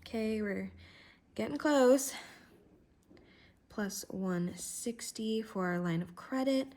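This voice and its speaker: A woman's voice: fairly high pitch, takes it slowly, darkish tone. A woman vlogger talking through her budget while writing, chatty and thinking aloud, a little congested from a cold.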